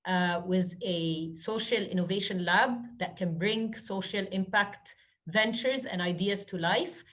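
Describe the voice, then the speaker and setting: A woman's voice: medium pitch, measured pace, fairly bright tone. A woman from Egypt giving earnest, flowing answers as a guest speaker in a webinar, often saying "you know". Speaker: guest speaker, social entrepreneur. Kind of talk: webinar Q&A talk.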